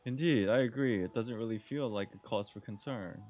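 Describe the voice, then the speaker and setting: A man's voice: on the low side, a little fast, noisy background. A man giving casual, slangy, reactive commentary as he plays a game on stream. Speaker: gaming streamer.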